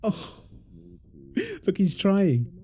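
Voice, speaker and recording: A man playing a doting, playful young husband and proud father in a wholesome audio roleplay, soft and affectionately teasing. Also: high voice, animated, drawn-out words, noisy background, exaggerated voice. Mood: excited, tender.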